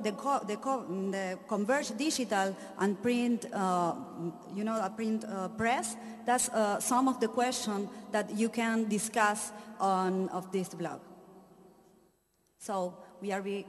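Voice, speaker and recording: A woman from Argentina whose first language is Spanish, presenting an award and often searching for words. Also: a little high. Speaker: jury member presenting an award. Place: large hall. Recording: hall reverb from a stage mic.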